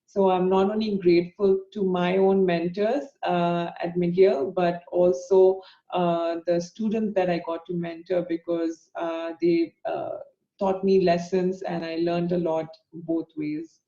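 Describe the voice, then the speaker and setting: A woman presenting to a panel in a flat, gravelly voice with a mellow tone. She pauses often and hesitates as she speaks. The sound is roomy and a little dull. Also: on the low side. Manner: hesitant, many filler pauses. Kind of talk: presentation talk.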